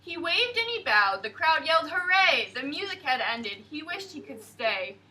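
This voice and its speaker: High, bright-voiced woman teacher. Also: normal speed, recorded from a distance, rough recording. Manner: expressive, sing-song storytelling. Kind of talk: classroom read-aloud and questioning. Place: classroom.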